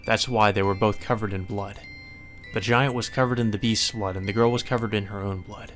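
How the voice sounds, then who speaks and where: A man reading a visual novel aloud as dramatic, solemn and measured narration. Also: lowish voice, fairly even intonation, a bit bright. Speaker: narrator, let's play reader. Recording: music behind the voice.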